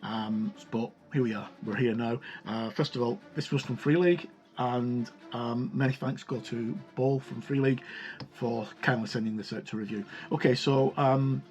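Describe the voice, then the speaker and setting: A man giving a casual, halting review of a tabletop game product in an informal way. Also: mid-range voice, a little mellow. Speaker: video reviewer. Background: music.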